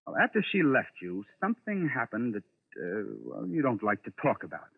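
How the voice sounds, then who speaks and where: An actor playing an urbane, composed lecturer and debunker, measured and probing, in 1940s radio drama style. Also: male voice, medium pitch, wildly swooping pitch, vocal fry, breathy edge, exaggerated voice. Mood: dry, probing. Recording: tinny, band-limited old radio recording.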